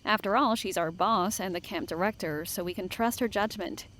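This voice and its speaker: A woman's voice: medium pitch, moderate pace, fairly bright tone, a little hiss or hum, lightly acted. A woman playing a level-headed young female camp counselor in an audio drama, a polite, self-assured peacemaker. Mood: reassuring. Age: young adult.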